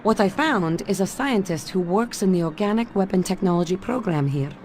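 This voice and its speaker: A woman's voice: medium pitch, lively intonation, a little fast, a little mellow, slight background noise, natural voice. A woman voicing a capable Russian secret agent in a video game, with a Russian accent and a composed, teasing manner. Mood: sly, teasing. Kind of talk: video game cutscene acting.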